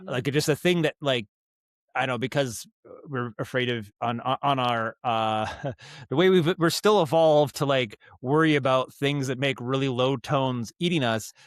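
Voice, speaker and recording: A man with a medium-pitched voice speaks as a podcast guest, explaining his craft. He is casual and thoughtful, often circling back and rewording as he goes. The sound is dry, with little room in it. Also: normal speed, no echo. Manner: rambling, thoughtful, frequent self-corrections and hedging. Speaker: podcast guest, audio storyteller and director.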